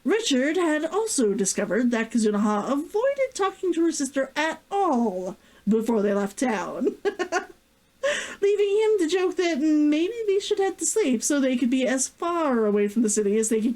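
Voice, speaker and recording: A woman's voice: high voice, sing-song, a little mellow, poor audio. A woman hosting a stream as an author, with a giggly, effusive manner. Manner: giggly, effusive, drawn-out sighing interjections. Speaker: vtuber host and author. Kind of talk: casual excited chat and reading aloud from own novel.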